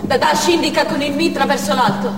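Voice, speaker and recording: A woman's voice: high voice, fairly quick. An actress playing a stern Chechen woman hostage-taker, narrating in a dramatic stage monologue. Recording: echoey theatre hall, far mic, noisy.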